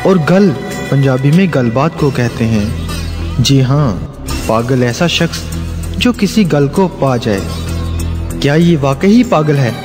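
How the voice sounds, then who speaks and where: A man's voice: medium pitch, expressive, takes it slowly, long pauses. A man playing an earnest radio drama host who addresses listeners in a rhetorical way. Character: earnest male show host defending the speaker. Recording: reverberant hall, music behind.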